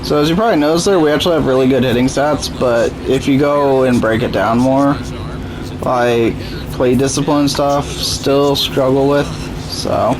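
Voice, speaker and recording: A man's voice: medium pitch, steady tone, moderate pace. Young man with a sore throat. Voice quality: voice strained and rough. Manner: laid-back, self-deprecating. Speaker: gaming YouTuber. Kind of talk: casual gameplay commentary. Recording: noisy home recording.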